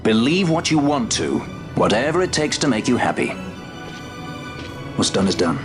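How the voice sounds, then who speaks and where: An actor playing a cool, self-interested sky pirate in a video game, talking in a dry, sardonic, laconic way. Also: male voice, medium pitch, very animated, moderate pace, music in the background, natural voice. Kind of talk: video game cutscene acting.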